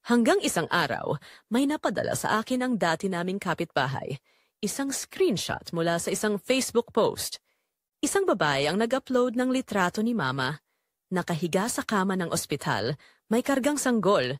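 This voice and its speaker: A woman with a Filipino accent playing a betrayed, resolute daughter, narrating her story in a solemn, deliberate, emotional way. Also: medium pitch, big pitch swings, no room sound, clean studio sound. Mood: hurt, somber. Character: Clarice, betrayed daughter and wife, wounded, resolute. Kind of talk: first-person dramatic scripted narration.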